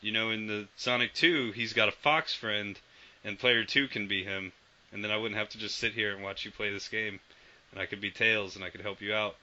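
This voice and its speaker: A man co-hosting a casual video game podcast, animated and swearing freely as he acts out old quotes. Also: fairly low pitch, a little fast. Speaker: podcast co-host. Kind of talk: casual chat about video games. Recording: dull lo-fi podcast audio.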